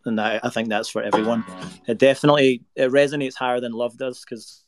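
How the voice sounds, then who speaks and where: A man's voice: medium pitch, fairly even intonation, fairly quick, over music. A man from Scotland with a Scottish accent, a singer-songwriter chatting earnestly on a video call as a guest. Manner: earnest, reflective, rambling with fillers. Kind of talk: casual interview chat. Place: home recording space in Nashville.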